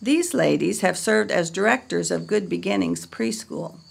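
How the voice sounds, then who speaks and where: A woman reading a scripted history narration in a measured, read-aloud way. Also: on the low side, animated, vocal fry, slightly rough.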